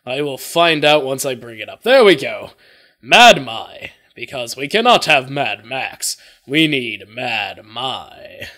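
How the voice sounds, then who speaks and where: A man's voice: mid-range voice, lively intonation, takes it slowly, pushed and bright. A young man talking casually in a rambling way, as the host of a reaction video. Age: young adult. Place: small room at home.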